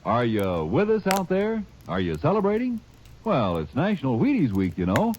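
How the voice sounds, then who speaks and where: A man's voice: huge pitch swings, normal speed, over loud music. A man announcing a 1950s radio commercial in a hearty, salesy way. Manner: hearty, salesy, rising pitch. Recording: dull old radio transcription.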